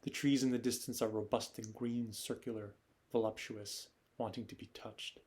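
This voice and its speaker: Man performing a nostalgic wandering poet, low-pitched. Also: fairly even intonation, moderate pace, a little mellow, close to an everyday voice. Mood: reflective. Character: nostalgic wandering male poet, reflective and yearning. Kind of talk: poetic dramatic monologue.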